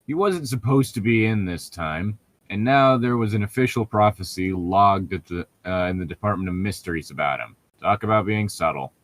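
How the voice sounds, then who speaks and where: A man's voice: lowish voice, expressive, normal speed, hardly any pauses, a bit bright, dry recording. A man co-hosting a casual read-aloud of fan fiction, breaking in with irreverent, sweary, joking commentary.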